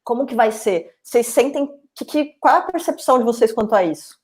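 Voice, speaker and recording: A woman's voice: a little high, expressive, fairly quick. A woman hosting a webinar discussion, talking at length and circling back with restarts. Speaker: webinar host. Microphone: video call.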